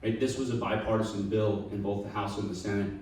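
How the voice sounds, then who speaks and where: A low-voiced man gives a persuasive talk to a public meeting. He keeps the tone earnest and keeps checking in with the audience. The sound comes from far off in a very echoey hall. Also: steady tone, quick pace, a little mellow, a little hiss or hum. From Long Island. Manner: earnest, persuasive, repeats "right?". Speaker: panel speaker. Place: meeting room.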